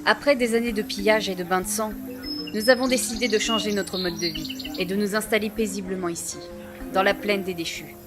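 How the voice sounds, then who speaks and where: Proud village clan chief, female, played by an actress: ceremonious, measured, average pitch, fantasy audio drama over clear music. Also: fairly even intonation, natural voice. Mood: solemn, proud. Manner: formal, measured, ceremonious phrasing. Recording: voice under clear background music.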